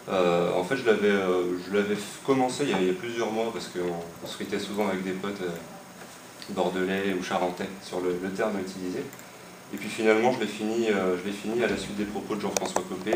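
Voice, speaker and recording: A young man from western France talks casually, pausing often and restarting his sentences. His voice sits very low. He is recorded in an echoey room from some distance, with steady noise behind him. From Maine-et-Loire, France. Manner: hesitant, many fillers and restarts. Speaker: website creator interviewed. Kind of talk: casual spoken explanation. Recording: echoey room, mic far off, noisy.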